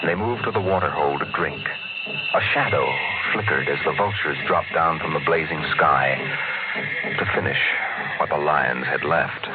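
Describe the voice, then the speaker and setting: An actor playing a grave, theatrical storyteller, narrating in dramatic 1950s radio style. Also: male voice, very deep voice, moderate pace. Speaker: narrator and announcer. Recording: tinny vintage radio recording, music.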